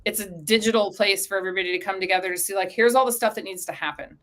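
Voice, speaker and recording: Mid-pitched woman explaining casually. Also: very fast, fairly bright tone. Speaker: company co-founder, interview guest. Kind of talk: casual chat, anecdotal explaining.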